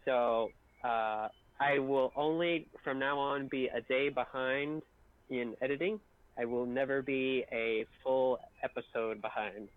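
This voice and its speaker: A man's voice: mid-range voice, fairly even intonation, unhurried, dry recording, narrow, tinny audio. A man rambling casually and self-deprecatingly about his work on a group livestream. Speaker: video editor and voice actor.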